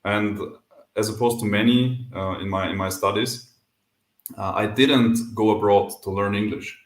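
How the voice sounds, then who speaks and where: A man's voice: fairly low pitch, fairly even intonation, measured pace, echoey room. A man from Austria with an Austrian German accent, a podcast guest speaking English as a second language, talking thoughtfully and candidly with frequent hesitations. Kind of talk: casual interview chat.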